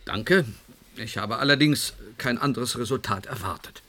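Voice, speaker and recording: An actor playing a self-assured, dismissive professor who lectures pompously and with measure in a radio play. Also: male voice, mid-range voice, normal speed, bright, forceful tone. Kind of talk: naturalistic radio drama dialogue.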